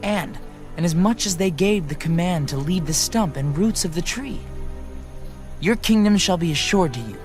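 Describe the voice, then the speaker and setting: Earnest, deferential male prophet for scripture drama; high-pitched, formal, grave, light music bed. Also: lively intonation, normal speed. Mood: grave, prophetic. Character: earnest, respectful male prophet addressing his king. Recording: faint music bed behind.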